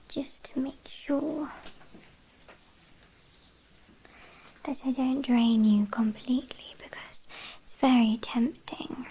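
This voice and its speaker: A British woman playing an ancient, possessive, haughty vampire in a whispered ASMR roleplay, speaking softly with quiet menace and condescension. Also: sits high, full character voice. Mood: tempted, controlling. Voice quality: soft breathy whisper.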